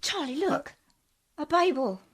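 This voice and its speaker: A woman's voice: high voice, lively intonation, unhurried. A woman playing a prim, devoted and spirited sweetheart in a radio play, earnest and exclamatory. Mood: hopeful, tender.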